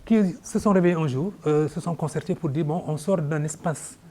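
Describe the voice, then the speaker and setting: A man's voice: a little high, brisk, warm tone. A man interviewing a guest on television, asking long, winding questions with hesitations and frequent murmured agreement.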